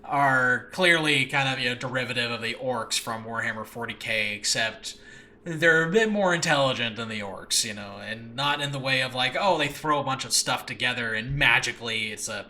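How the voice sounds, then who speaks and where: Bright, forceful, enthusiastic man rambling casually. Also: medium pitch, normal speed. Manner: enthusiastic, animated, peppered with chuckles and mild swearing. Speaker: podcast host and audio drama creator. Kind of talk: casual solo rambling chat about a show in progress. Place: indoors in a makeshift home space. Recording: faint background noise, makeshift home recording space.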